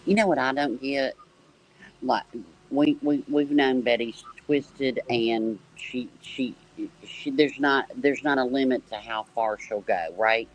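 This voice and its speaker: Male chat guest, middle register, unhurried and halting, emphatic casual delivery. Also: medium pitch, fairly even intonation, poor audio. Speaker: live stream guest. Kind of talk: casual true-crime reaction chat.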